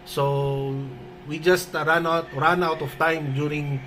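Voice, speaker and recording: A Filipino man with a fairly high voice talks as an amateur radio operator. His delivery is halting, with repeated words, and there is faint noise behind him. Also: little pitch movement, a bit bright, poor audio. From Philippines. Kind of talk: ham radio conversation.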